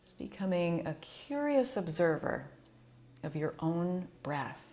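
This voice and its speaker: A woman leading a guided yoga relaxation as an instructor, speaking in a soothing, gentle and unhurried way. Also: on the low side, sing-song, slight background noise.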